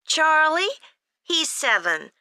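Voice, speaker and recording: A high-voiced, bright mother on a call. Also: very animated, slow pace, no room sound, full character voice. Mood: politely curious. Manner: polite, inquiring. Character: friendly mother phoning to ask about a kids' camp. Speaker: caller. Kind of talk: acted phone enquiry for a listening test.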